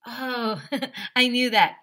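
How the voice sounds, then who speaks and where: A woman's voice: on the high side, drawn-out words, a bit bright, voice pushed up, heavily acted. A woman voicing a cocky, silly young schoolboy who tells his own story in a blunt, teasing way. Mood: bluffing.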